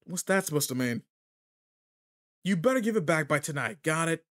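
A man's voice: on the high side, lively intonation, normal speed, a bit bright. A man playing a cocky, irritable teenage delinquent in a roleplay audio drama, talking casually and teasingly straight to the listener. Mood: irritated, teasing.

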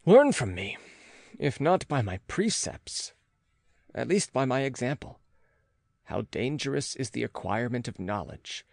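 A man reading a classic gothic novel as an audiobook narrator, in a measured, formal and dramatic delivery. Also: medium pitch, big pitch swings, vocal fry, darkish tone, no room sound, studio quality.

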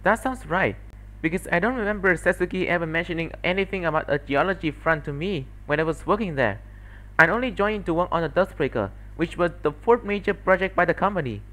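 A man voicing an earnest female former researcher who explains things in a measured, guilty way, in an amateur visual novel fandub. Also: sits high, pushed and bright, rough recording. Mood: earnest, explanatory.

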